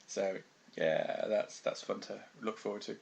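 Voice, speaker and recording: A man's voice: fairly low pitch, steady tone, measured pace, creaky, darkish tone, a little hiss or hum. A British man co-hosting a podcast, talking in a dry, wry and rambling way with lots of fillers. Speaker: podcast co-host. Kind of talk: casual anime discussion.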